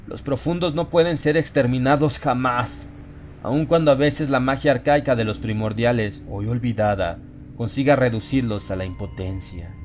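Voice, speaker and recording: A man's voice: mid-range voice, moderate pace, slight background noise, lightly acted. A man playing a haunted narrator slipping into exalted, fanatical madness in a solemn, theatrical horror audiobook. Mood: solemn, ominous. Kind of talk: dramatic first-person horror audiobook narration.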